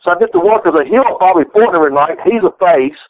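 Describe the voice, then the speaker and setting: A man's voice: high voice, animated, rapid-fire. A middle-aged man with a Southern accent, telling old stories in a rambling, profane, folksy way, often restarting his sentences. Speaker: retired pro wrestler guest. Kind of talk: candid storytelling interview. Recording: tinny phone line, dry.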